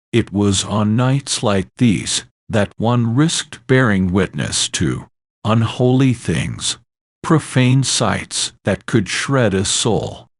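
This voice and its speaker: A man's voice: on the low side, no room sound, clean studio sound. A man narrating a scripted horror story in an ominous, theatrical and solemn manner.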